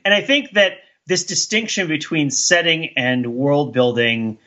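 A man co-hosting a podcast, talking casually and thinking aloud in halting, animated bursts. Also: on the high side, dull audio. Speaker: podcast co-host. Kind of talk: casual discussion of TV and film.

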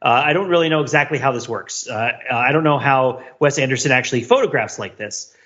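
A man's voice: mid-range voice, quick pace, bright, forceful tone, muffled recording. A man co-hosting a podcast, discussing film analytically in a wordy, thinking-aloud way, often repeating himself and checking in with "right?". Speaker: podcast co-host.

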